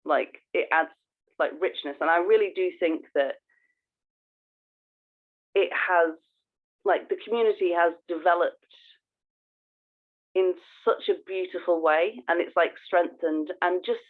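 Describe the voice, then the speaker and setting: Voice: medium pitch, a little slow, long pauses, phone-quality sound. Effusive podcast co-host on thin call audio. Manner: effusive, thinking aloud. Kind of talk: casual podcast chat.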